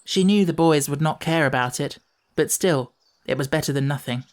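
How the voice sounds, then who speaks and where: A woman's voice: deep voice, normal speed. A woman reading scripted narration for a children's audiobook.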